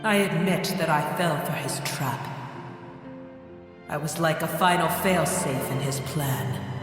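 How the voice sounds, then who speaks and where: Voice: medium pitch, slow pace, natural voice. An actor voicing an ancient, brooding dragon in a video game, proud and bitter, telling its tale in grave, formal speech. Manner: grave, solemn, formal storytelling. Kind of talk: dramatic video game voice acting. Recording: cavernous echo and long reverb, game music.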